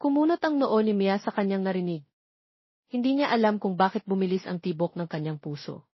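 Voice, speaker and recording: Woman reading a love story audiobook, medium pitch, dry close sound, little bit muffled. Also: expressive, fairly quick, husky, dry recording. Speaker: audiobook narrator. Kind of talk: scripted story narration.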